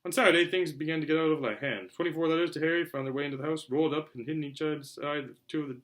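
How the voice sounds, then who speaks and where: A man narrating a children's novel aloud in an animated way, occasionally stumbling over words. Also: on the high side, fast talker. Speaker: reader, show host. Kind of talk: amateur audiobook-style story narration.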